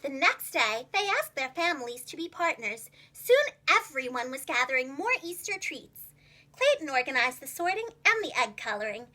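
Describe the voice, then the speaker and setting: A woman telling a children's story aloud as a preschool teacher, with expressive, carefully enunciated delivery. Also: high voice, normal speed.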